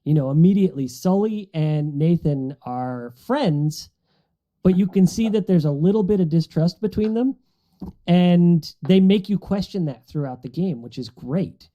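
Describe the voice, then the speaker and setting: Very mellow, muffled man chatting about films. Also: sits high, expressive, unhurried. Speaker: podcast panelist. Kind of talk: casual film discussion among friends. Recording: muffled, dull audio.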